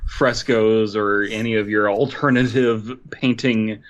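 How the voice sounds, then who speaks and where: A man's voice: on the low side, steady tone, unhurried. A man co-hosting a comedy podcast with irreverent, sweary mock-lecturing. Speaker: podcast co-host. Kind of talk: crude comic banter, mock lecture.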